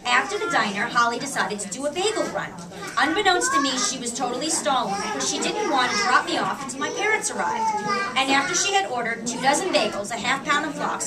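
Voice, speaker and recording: High-voiced American woman reading to a noisy crowd. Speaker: author reading at a book event. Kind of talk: animated reading of a personal humorous essay to a live audience. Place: public book reading in a shop. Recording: distant mic in a noisy crowded room.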